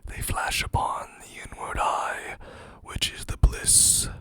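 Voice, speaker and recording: An ASMR host whispering poems into a close mic, reading slowly with chatty asides, voice a little hoarse. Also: sharp tone. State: slightly hoarse, losing voice.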